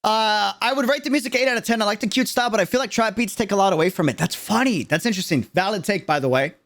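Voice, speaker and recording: A man's voice: high-pitched, quick pace, a bit bright. A young man hosting a livestream reaction, geeking out in casual, slangy, rambling commentary with an enthusiastic manner. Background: music playing behind the voice.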